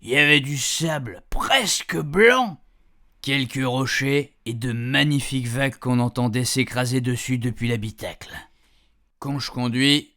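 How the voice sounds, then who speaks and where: A man's voice: medium pitch, bright, forceful tone, no room sound, clean studio sound. A man playing a chatty older husband who tells his camping memories in colloquial French-accented speech for a radio fiction, savouring words and grumbling in asides. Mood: dreamy, delighted. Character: chatty, grumbling, nostalgic married man, an amateur camper. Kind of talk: first-person storytelling in a radio fiction podcast.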